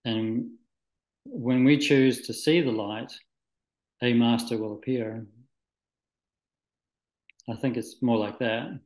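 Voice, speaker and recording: A man from Scotland who moved to New Zealand as a child, speaking reflectively and haltingly as an interview guest, searching for words. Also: mid-range voice, fairly even intonation, darkish tone. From Scotland, moved to New Zealand at nine. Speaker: interview guest, spiritual practitioner. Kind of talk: reflective, conversational interview answers. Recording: echoey room.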